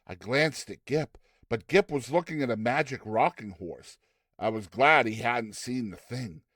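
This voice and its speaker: A man narrating a story in an expressive, dramatized reading style. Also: mid-range voice, unhurried, no echo, clean studio sound.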